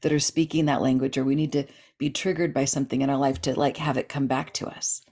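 A middle-aged woman talking casually as a dream expert on a video call, animated and gesturing as she explains. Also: rumbling low, quick pace. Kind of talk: casual interview chat.

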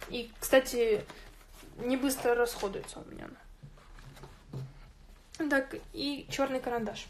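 A woman's voice: high-pitched, steady tone, unhurried, slight background noise. A woman hosting an informal drawing tutorial, chatting and thinking aloud as she works. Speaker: art tutorial host. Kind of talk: informal drawing tutorial, explaining while working. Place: indoors at a drawing table.

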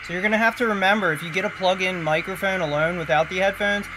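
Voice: medium pitch, steady tone, fast, low-quality recording. Tech tutorial host over music. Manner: cautionary, instructive. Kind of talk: casual hands-on demonstration.

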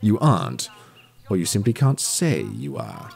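An actor playing an earnest, awkward detective who speaks in a polite, wordy, formal way in an audio drama. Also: male voice, fairly low pitch, huge pitch swings, unhurried, gravelly, a little mellow, exaggerated voice. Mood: polite probing.

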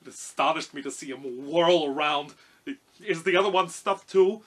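Man voicing a plaintive, forceful cowardly lion. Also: on the high side, moderate pace, husky, pitched above the performer's own voice. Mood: curious, sheepish. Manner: plaintive, blustery. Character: big male lion, cowardly, self-pitying, curious.

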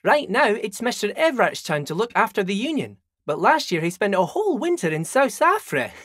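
A man's voice: high-pitched, sing-song, a little fast, a bit bright, no room sound, studio quality, full character voice. An actor playing a friendly, simple-minded old dockworker in a video game, folksy and rambling, repeating himself as he chats. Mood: friendly, chatty. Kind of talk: video game character dialogue.

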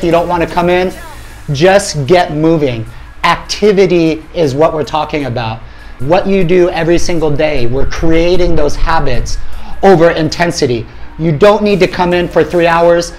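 A man in his forties, a fitness company head, giving an emphatic motivational talk to camera in a small gym studio, speaking into a lapel mic. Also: on the high side. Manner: energetic, emphatic, gestures widely with his hands.

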